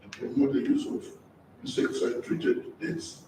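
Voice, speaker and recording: A man's voice: normal speed, dark tone. A man with a Zambian accent giving a formal public speech as a government minister, in an echoey, distant recording. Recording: echoey, distant event recording, some noise.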